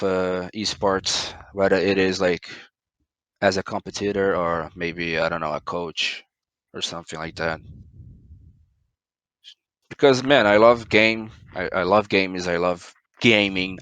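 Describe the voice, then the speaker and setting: Brazilian male gamer, very low voice, dry home recording, thoughtful and halting. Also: fairly bright tone, dry recording. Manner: thoughtful, searching for words, many fillers. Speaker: pro esports player guest. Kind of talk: casual interview over voice chat.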